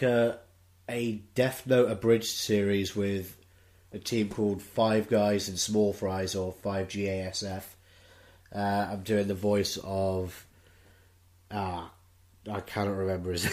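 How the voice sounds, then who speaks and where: Low-pitched, hesitant man in interview. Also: fairly even intonation, slow, darkish tone. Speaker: voice actor, cast member. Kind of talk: casual interview talk.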